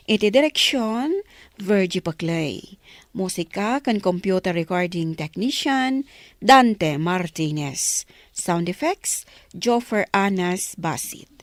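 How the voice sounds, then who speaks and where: A woman's voice: medium pitch, no echo. A woman with a Filipino accent announcing a radio drama in scripted narration and credits.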